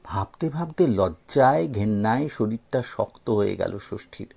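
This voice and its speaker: A man's voice: lowish voice, expressive, measured pace, vocal fry, mellow, slightly rough. A man reading a scripted story expressively as an audio story narrator.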